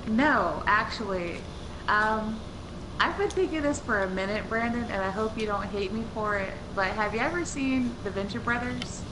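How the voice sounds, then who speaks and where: A woman chatting casually with friends while they play a game online, with animated bursts. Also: medium pitch, normal speed, noisy background. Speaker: gaming guest. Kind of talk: casual gaming chat.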